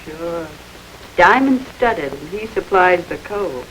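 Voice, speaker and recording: A sardonic gangster's moll speaks in a lowish, knowing tone. Faint hiss sits under the old radio recording. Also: female voice, animated, slow pace, fairly bright tone, poor audio. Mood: wry. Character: cynical gangster's girlfriend, worldly. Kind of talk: 1940s radio acting.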